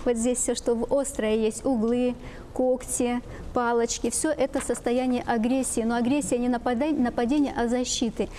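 A middle-aged woman explaining things as an expert guest on a talk show, in a halting way that repeats phrases. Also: on the high side, fairly even intonation, mellow, soft background music. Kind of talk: explaining children's drawings to parents. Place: TV studio with seated guests.

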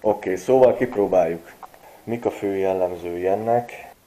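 A man in his forties giving a relaxed, chatty gadget review, pausing often with filler sounds, recorded on a camera mic. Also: deep voice, a little hiss or hum, low-quality recording. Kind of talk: casual product review vlog.

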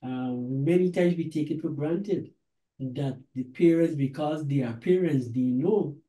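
Guyanese man, mellow tone, deliberate halting delivery, talk show host, roomy video-call sound. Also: on the high side. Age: middle-aged. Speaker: host, reverend. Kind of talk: reflective talk show hosting. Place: book-lined office.

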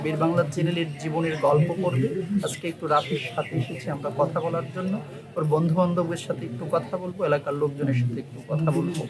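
Male interviewer, Bangladeshi Bengali accent, high voice, informal probing manner, crowd chatter behind. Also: fairly even intonation, fast talker, low-quality recording. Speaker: interviewer and channel host. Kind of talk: on-location human-interest interview. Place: on location in a village.

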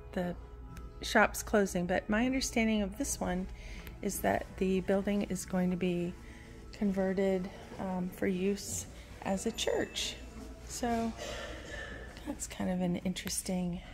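A woman strolls through a big antique mall with her phone, speaking slowly and loosely with plenty of fillers. Her voice sits in an ordinary middle register. Store music plays softly behind her on the phone recording. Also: mid-range voice. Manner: slow, meandering, unhurried with frequent fillers. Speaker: antiques vlogger. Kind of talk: casual walk-through commentary. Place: large indoor antique mall. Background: store music playing faintly.

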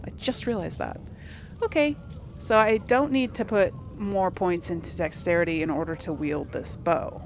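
A woman's voice: on the low side, moderate pace, slight background noise. Chatty woman narrating gameplay. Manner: chatty, thinks aloud, laughs at herself. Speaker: let's play host. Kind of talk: casual gameplay commentary.

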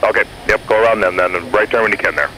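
A man's voice: on the low side, rapid-fire. A man working as an air traffic controller, giving clipped, courteous instructions over aviation radio. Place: airport tower radio, heard in a jet cockpit. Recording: aviation radio with faint noise.

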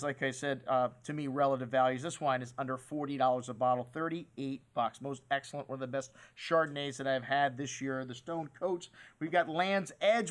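A man's voice: a little high, fairly bright tone, clear, resonant tone. A middle-aged man hosting a wine shop video, talking casually and enthusiastically to camera about new bottles. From USA. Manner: enthusiastic, chatty salesman with "hey" and "man". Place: wine shop set in front of wine crates, indoors.

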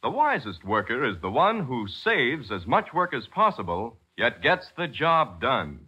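A man working as a 1940s radio announcer, reading a script with emphatic, salesmanlike delivery and a husky voice. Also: medium pitch, big pitch swings, measured pace. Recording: dull, lo-fi 1940s radio recording.

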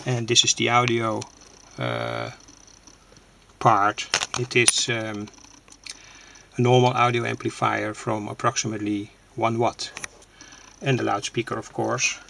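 A man with a creaky voice gives a halting, unscripted technical demonstration, explaining things plainly. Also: medium pitch, sharp tone, a little hiss or hum. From Netherlands. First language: Dutch. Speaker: hobbyist radio builder, presenter. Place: indoors at a home workbench. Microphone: handheld camera's built-in microphone.

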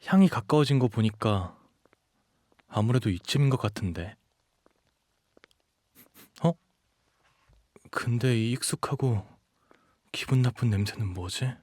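A man's voice: medium pitch, unhurried, long pauses, a little mellow, no echo, lightly acted. A young man playing an earnest, protective dog shifter in a romantic audio drama. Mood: musing, uneasy. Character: gentle, devoted young dog shifter, wounded but protective.

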